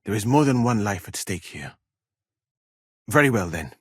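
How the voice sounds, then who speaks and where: A man's voice: on the low side, sing-song, slightly rough, no echo, clean studio sound. A man playing a proud, exacting medieval physician in a video game, speaking formally and precisely. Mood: measured, guarded. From Mali. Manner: formal, measured, precise wording. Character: proud, exacting foreign male physician in a medieval war camp. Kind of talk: dramatic video game dialogue.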